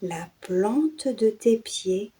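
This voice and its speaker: A woman guiding a yoga nidra and hypnosis meditation in a soothing, hushed way, in short phrases with breathy endings and long pauses. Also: medium pitch, lively intonation. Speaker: meditation guide and channel host.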